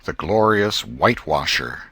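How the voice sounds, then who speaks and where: Deep American man narrating, very expressive. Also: a little slow, husky, dry recording. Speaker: audiobook narrator. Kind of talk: expressive scripted storybook narration.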